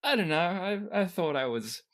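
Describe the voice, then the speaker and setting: A game master voicing a drugged, childish, injured prince with a whiny, drawn-out delivery in a tabletop game. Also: medium pitch, animated, fairly bright tone, pitched above the performer's own voice, natural voice. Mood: dazed bravado.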